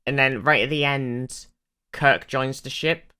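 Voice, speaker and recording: A man co-hosting a podcast, chatting casually about sci-fi in an animated, excitable way, with some swearing. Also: medium pitch, measured pace, clear, resonant tone. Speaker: podcast co-host.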